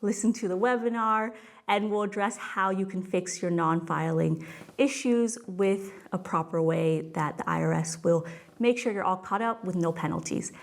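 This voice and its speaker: A woman's voice: medium pitch, moderate pace, vocal fry. A woman in her thirties from California with an American accent, hosting a webinar and explaining things in a chatty, emphatic, reassuring way. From California, United States. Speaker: webinar host, tax expert. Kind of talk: webinar presentation, conversational explaining. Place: office, indoors, at a desk.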